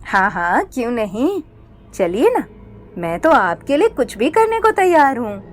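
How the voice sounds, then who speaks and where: A woman's voice: a little high, big pitch swings, unhurried, slight background noise. A woman voicing a smitten, gullible young village man in a cartoon story, eager and exclamatory. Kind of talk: Hindi cartoon story acting.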